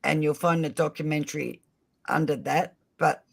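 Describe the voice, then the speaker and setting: A man's voice: a little high, steady tone, normal speed, vocal fry, slightly rough. A man hosting a casual livestream, speaking to viewers directly in a friendly way. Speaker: livestream host. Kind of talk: casual live chat.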